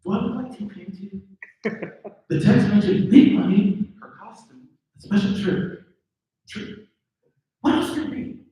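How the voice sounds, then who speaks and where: A man's voice: sits high, a little slow, pauses often, dark tone, recorded from a distance. A man acting a jittery, suspicious young boyfriend in a table read of a comedy script. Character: Kevin, jittery suspicious young boyfriend covering up his alarm. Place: live table read at an event in a room. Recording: echoey room, picked up from afar.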